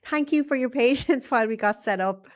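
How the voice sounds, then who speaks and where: A woman's voice: high-pitched, fairly quick. Host, female, effusive and upbeat, interviewing a guest on a studio mic. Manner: bubbly, enthusiastic, laughs often. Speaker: podcast host. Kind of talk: conversational podcast interview. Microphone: studio microphone.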